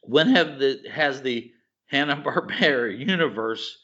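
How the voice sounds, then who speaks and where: A man co-hosting a podcast in casual chat, excitable and rambling, often restarting his sentences. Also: mid-range voice, a little slow. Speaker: podcast co-host. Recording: dull lo-fi podcast audio.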